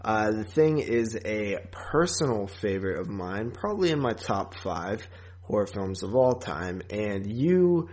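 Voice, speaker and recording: A man's voice: fairly low pitch, big pitch swings, a little slow, darkish tone. A man chatting casually and with a fan's enthusiasm as a podcast co-host about horror films.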